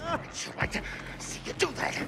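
A man's voice: normal speed, close to an everyday voice. A man playing a menacing renegade thug in a video game, taunting and aggressive.

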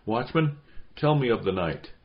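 A smart young widow , voiced by a man raising his pitch, answers assertively. It is a storybook reading on a dull, lo-fi recording. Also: animated, normal speed, lightly acted. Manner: crisp, assertive. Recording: dull, lo-fi sound.

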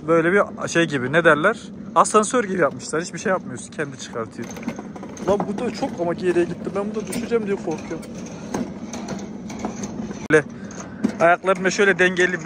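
Young guy vlogging on a handheld cam outside, highish voice, slangy casual chat, pretty noisy background. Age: 20s. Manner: informal, slangy, thinking aloud. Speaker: travel vlogger. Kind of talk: casual spontaneous vlog chat. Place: outdoors on mountainside, some in cable car. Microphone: handheld camera at arm's length.

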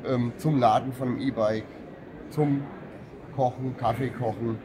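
Calm middle-aged male service technician. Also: mid-range voice, measured pace. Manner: calm, technical, matter-of-fact explaining. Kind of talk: trade fair interview, explaining products. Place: busy exhibition hall booth. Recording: trade hall with clear crowd noise behind.

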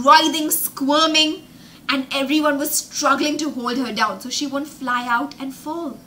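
A young woman telling a story as a children's teacher, dramatic and emphatic, pausing to explain words with synonyms. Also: high voice, pushed and bright, slight background noise. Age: young adult. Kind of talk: animated storytelling lesson with explanations. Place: indoor room with glass-fronted bookshelves.

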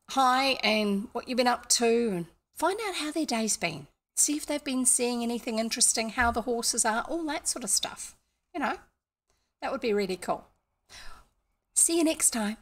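A woman's voice: a little high, a bit bright. An older woman chatting casually and in a rambling, informal way as a livestream host. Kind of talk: casual chat to livestream viewers. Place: indoor room, home streaming setup.

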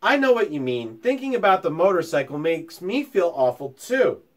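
A man voicing a plucky, curious young male mouse who talks in a brisk, chatty way. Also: high voice, sing-song. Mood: friendly.

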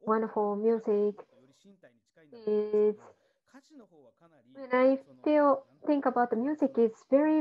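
A woman interpreting on a video call, her voice pitched a little high and carried through muffled, dull call audio. She speaks slowly and haltingly, filling gaps with fillers and restarts as she relays each phrase. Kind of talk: simultaneous interpretation on an online call.

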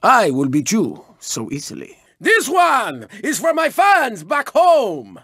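Flamboyant Spanish-accented race car, wildly animated. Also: male voice, very high-pitched, slow, husky, dry recording, studio quality, exaggerated voice. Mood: boastful, gleeful. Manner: boastful, dramatic, exclamatory. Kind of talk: theatrical video game character barks.

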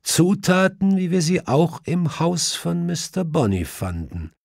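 A man's voice: fairly high pitch, very animated, unhurried, creaky, dry recording, clean studio sound, voice pushed up, heavily acted. A man voicing a clever, self-assured male detective who explains things precisely in an audiobook. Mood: assured explaining. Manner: precise, explanatory, faintly mischievous.

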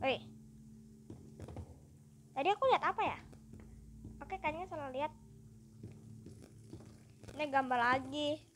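High, bright chatty gaming commentator. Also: female voice, unhurried, long pauses, slight background noise. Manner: chatty, upbeat, keeps addressing viewers. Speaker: gaming YouTuber. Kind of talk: casual let's-play commentary.

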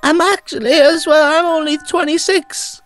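A woman voicing a plaintive young trapped stranger with drawn-out words in a game roleplay cartoon. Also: sits high, steady tone, soft background music, lightly acted. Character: trapped human stranger, claims to be twenty-six.

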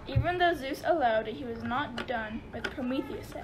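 Female storyteller for radio play narration, high voice, noisy take. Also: normal speed. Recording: very noisy amateur recording.

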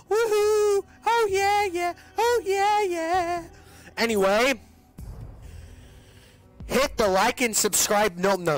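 Young guy doing prank calls, jokey and slangy, really lively pitch, faint music behind him. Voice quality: voice cracks at times. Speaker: prank caller and video host. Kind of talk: casual prank-call chat.

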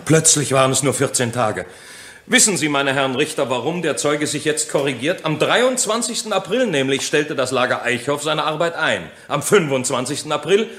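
A man's voice: on the high side, normal speed, fairly bright tone, natural voice. A man playing a shrewd, methodical defence lawyer in a German crime radio drama, questioning formally and pointedly. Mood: triumphant, pointed. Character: shrewd, insistent male defence lawyer, methodical cross-examiner. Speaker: actor in a courtroom radio play.

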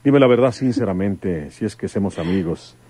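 A low-voiced stern Mexican rural detective. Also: male voice, animated, moderate pace, warm tone, slightly rough, dry recording. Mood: insistent. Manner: rustic country speech, insistent questioning. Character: stern rural detective, a father, probing and resolute. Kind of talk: old-time radio drama acting.

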